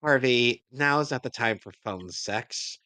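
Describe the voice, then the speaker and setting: A man's voice: medium pitch, a little slow, bright, forceful tone, dry recording. A man co-hosting a show, giving casual, joking commentary on a cartoon.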